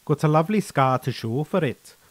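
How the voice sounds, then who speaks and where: A man's voice: fairly high pitch, expressive, brisk, dry recording. A man voicing a chatty, frank, easygoing veteran soldier in a sci-fi story, with colloquial, mildly profane, persuasive talk. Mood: reminiscing.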